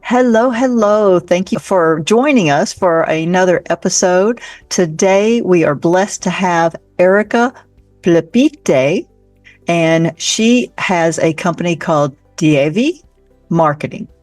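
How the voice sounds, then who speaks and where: Podcast host, female, lower register, casual and encouraging with guests, dry close recording. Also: animated, slow, darkish tone, dry recording, faint music behind. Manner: upbeat, encouraging, chatty with fillers. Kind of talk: casual interview chat.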